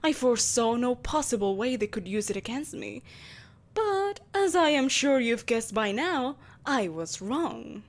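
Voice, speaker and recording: A woman voicing an ancient, ageless sorceress empress in an audio roleplay, telling her tale in a grandiose, deliberate, condescendingly polite manner. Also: on the high side, very animated. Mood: imperious, musing. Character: ancient, ageless evil sorceress empress, haughty, ruthless, possessive. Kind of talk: theatrical dramatic monologue, audio roleplay.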